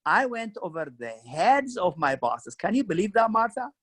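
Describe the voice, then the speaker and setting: Bright-voiced man with an Ethiopian accent. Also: high-pitched, very animated, normal speed, husky, no room sound, studio quality. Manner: earnest, storytelling, frequent "you know" and "kinda". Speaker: career mentor guest. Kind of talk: reflective career interview.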